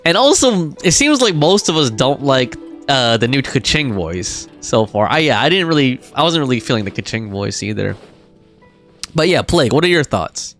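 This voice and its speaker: A game streamer talks to viewers in a loose, informal way. The voice sits at a middling pitch and moves around a great deal, very animated. Faint music runs underneath. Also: moderate pace. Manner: informal, reactive, chuckling. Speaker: game livestreamer. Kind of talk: casual chat, reading out game dialogue and viewer comments.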